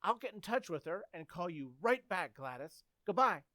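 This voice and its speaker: A woman's voice: lowish voice, very animated, moderate pace, noisy background. A woman playing an eager, coaxing, earnest teenage boy in an old-time radio comedy. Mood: puzzled, earnest.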